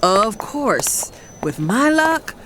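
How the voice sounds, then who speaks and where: A woman's voice: a little high, sing-song, drawn-out words, background noise, full character voice. A woman playing a sassy, guilt-torn fiancée who narrates her own romantic drama in a confiding way. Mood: confiding, uneasy. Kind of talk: first-person dramatic narration and romantic dialogue.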